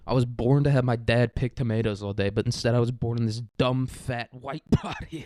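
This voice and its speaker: A man's voice: mid-range voice, a little mellow, no echo. A young American man hosting a solo podcast, rambling casually and comedically in a crude, irreverent manner. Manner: crude, irreverent, often chuckling as he talks.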